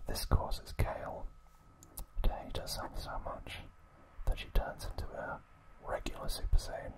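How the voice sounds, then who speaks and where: An ASMR host whispering softly and gently in a breathy, unvoiced voice, recapping trivia in an unhurried way. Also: low-quality recording. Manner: soft, gentle, unhurried storytelling.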